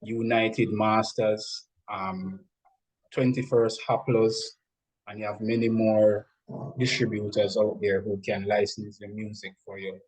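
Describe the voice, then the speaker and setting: A man speaking as a music producer guest, explaining things deliberately, step by step, in an interview. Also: on the low side, creaky, a little mellow, slightly rough, poor audio.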